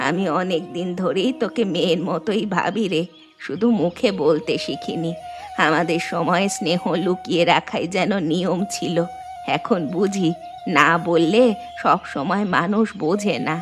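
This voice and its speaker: একজন মহিলার কণ্ঠে স্নেহময়ী বৃদ্ধা শাশুড়ি. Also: female voice, on the low side, measured pace, close to an everyday voice. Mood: tender. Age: older. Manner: tender, advising, plain homely speech. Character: old Bengali mother-in-law, affectionate, gentle, home cook. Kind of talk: animated moral story dialogue. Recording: music behind the voice.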